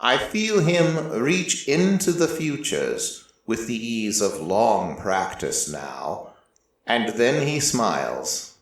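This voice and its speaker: A man narrating a first-person story in a measured, scripted storytelling style. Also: lowish voice, very animated. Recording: roomy, echoing voice recording.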